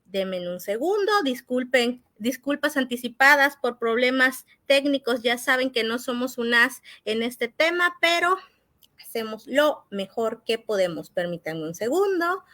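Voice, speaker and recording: A woman with a higher-than-average, sharp and bright voice hosts a talk. She delivers a formal introduction in a courteous way. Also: expressive, unhurried. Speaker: webinar host.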